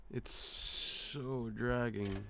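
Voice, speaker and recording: A man's voice: mid-range voice, fairly even intonation, extremely slow, over loud music. A young man streaming casual, slangy reactions to videos, muttering asides as he watches. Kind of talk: casual livestream reaction chat.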